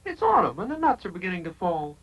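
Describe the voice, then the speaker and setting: A performer voicing a cheerful, pushy puppet that pitches coffee with sudden menace in a vintage TV commercial. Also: wildly swooping pitch, fast, a bit bright, full character voice. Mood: pushy, chipper. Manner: pushy sales patter. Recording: lo-fi old TV ad, faint noise.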